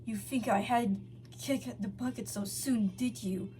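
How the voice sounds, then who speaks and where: A battle-scarred pangolin warrior read by a woman, taunting and full of defiance in a dramatic fan story. The voice is on the high side, over clear noise. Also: fairly even intonation, measured pace, mellow, far from the mic, close to an everyday voice. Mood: defiant.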